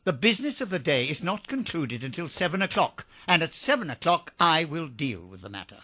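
A man's voice: fairly high pitch, very animated, fairly quick, pushed and bright, dry recording, heavily acted. An actor playing a cold, miserly old businessman in a radio drama, curt and imperious. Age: older.

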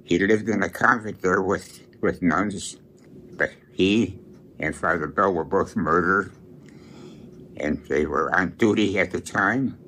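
Male priest aged over 100, low bright voice, halting plain recollection, slightly noisy interview. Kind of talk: reminiscing in an interview. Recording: noisy on-location interview recording.

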